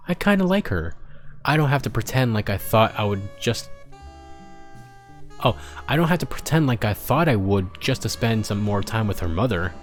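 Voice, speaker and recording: A man voicing a grumpy, sarcastic pony maintenance supervisor who dictates log entries in a story. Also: on the low side. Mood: smitten, upbeat. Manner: exasperated, sarcastic, swearing. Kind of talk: dramatic storybook character reading. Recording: music behind the voice.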